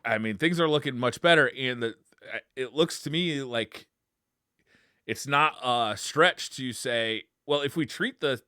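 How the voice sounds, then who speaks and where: A man's voice: mid-range voice, measured pace, sharp tone, smooth, no room sound, clean studio sound. A man co-hosting a podcast in casual, conversational chat. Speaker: podcast co-host. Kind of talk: casual chat about games and movies.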